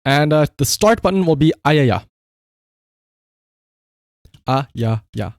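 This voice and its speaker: A man's voice: a little high, animated, drawn-out words, no room sound, studio quality. A man doing casual live game-stream commentary, swearing freely and repeating short command words.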